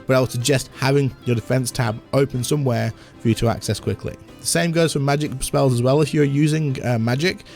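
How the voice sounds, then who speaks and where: A slightly hoarse man walks through a game tutorial in a rapid, chatty way, talking over what he shows on screen. His pitch sits at an ordinary middle level, and faint music plays quietly behind him. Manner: chatty, explains while demonstrating on screen. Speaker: gaming content creator. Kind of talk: casual game tutorial walkthrough.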